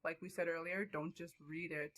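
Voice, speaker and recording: A woman's voice: sits low, steady tone, moderate pace, a bit bright. A young woman giving an informal presentation as a student teacher.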